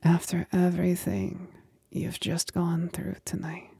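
A woman voicing a possessive demon companion in a whispered ASMR roleplay, breathy and husky, teasing and coolly commanding with condescending sweetness. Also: on the low side, expressive, mellow, no room sound, heavily acted. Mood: disdainful, intrigued. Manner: slow, deliberate, condescendingly sweet.

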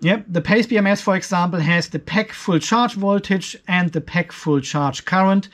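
A man with a distinctly high voice and as his walks through a technical comparison. He sounds like a video host in his fifties, explaining details step by step and giving his own opinions. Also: moderate pace, fairly bright tone. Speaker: video host, off-grid tech reviewer. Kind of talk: explanatory tech review over a spreadsheet.

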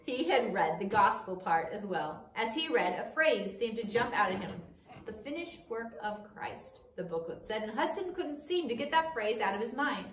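Female storyteller, middle-aged, expressive read-aloud delivery; very roomy classroom sound, slightly distant mic. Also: on the high side, slight background noise. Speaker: teacher reading a book aloud. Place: small indoor classroom. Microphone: camera across a table.

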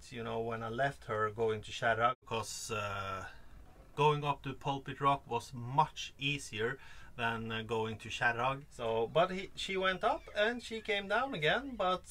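A man in his forties, vlogging to camera on a sailboat, talking in a relaxed, chatty way with many fillers. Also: medium pitch. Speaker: sailing vlogger. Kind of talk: casual vlog talk to camera. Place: sailboat on a fjord, outdoors, then in the cabin. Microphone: camera held at arm's length, then camera on a shelf.

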